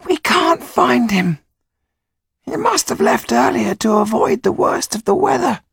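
A man voicing a small fellow with a squeaky, girly voice in an audiobook, speaking slowly and earnestly. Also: very animated, a bit bright, no room sound, studio quality, exaggerated voice. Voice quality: squeaky, raspy. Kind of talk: audiobook character voice.

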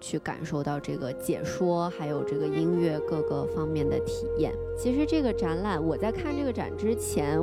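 With music clearly playing behind her, a woman with a very low voice chats casually as a podcast co-host. Her delivery is conversational and openly enthusiastic.

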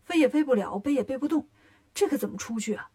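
A woman voicing a cocky, quick-witted male monkey king in a children's story, bossy and colloquial. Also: high voice, animated, dark tone. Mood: fretting.

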